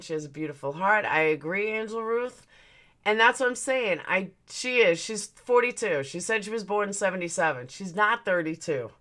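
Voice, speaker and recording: A woman's voice: mid-range voice, pushed and bright. A woman in her forties hosting a livestream, chatting casually as she reads out and answers viewer comments, blunt and swearing now and then. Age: 40s. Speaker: livestream host.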